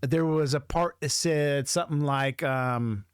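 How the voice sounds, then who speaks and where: Rambling man, slightly high-pitched voice. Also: measured pace, smooth, no room sound, clean studio sound. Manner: hesitant, rambling, thinking aloud. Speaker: music video reaction host. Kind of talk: casual reaction commentary.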